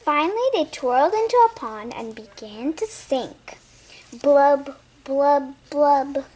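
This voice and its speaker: Child storyteller, very high voice, slow expressive read-aloud delivery, faint noise behind. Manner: expressive, with repeated words and sound effects. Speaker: storybook reader. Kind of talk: read-aloud storytelling with asides to young listeners.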